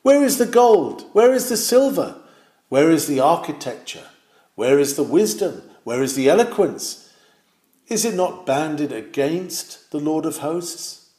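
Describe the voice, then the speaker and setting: A man's voice: on the high side, very animated, unhurried, dark tone, roomy sound. A man reading an old sermon aloud in a solemn, exhorting manner, with rising and falling emphasis. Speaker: reader of a historical sermon.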